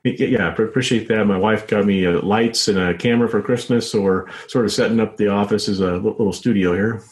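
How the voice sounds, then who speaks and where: An American man speaking as an author being interviewed, answering casually and haltingly with lots of hedging filler phrases. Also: on the low side, steady tone. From United States. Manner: halting, frequent hedging with "you know" and "sort of". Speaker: author and journalist, interview guest. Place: home office set up as a small studio.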